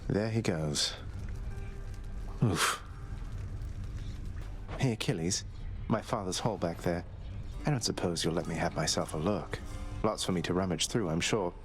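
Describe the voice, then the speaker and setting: An actor playing a wry, rebellious young underworld prince in a video game, speaking in a dry, politely sardonic way. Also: male voice, low voice, a little slow, long pauses, faint music behind. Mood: wry, understated.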